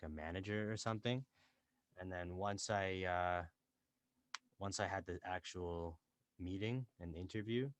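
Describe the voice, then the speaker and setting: A young man, an entrepreneur and rapper born in Dubai and raised in Canada, chatting casually as a podcast guest from a small closet home studio, relaxed and full of fillers. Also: deep voice, no room sound. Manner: relaxed, chatty, many fillers and self-quoting. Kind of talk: casual interview chat.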